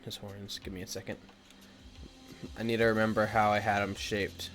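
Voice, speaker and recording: A man's voice: on the low side, level tone, normal speed, fairly bright tone, soft background music. A young man with a stuffy nose chatting casually with viewers as he draws, rambling with lots of fillers. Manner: rambling, filler-heavy, talks to chat. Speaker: art streamer. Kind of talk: casual chat with viewers while drawing. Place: indoors at home.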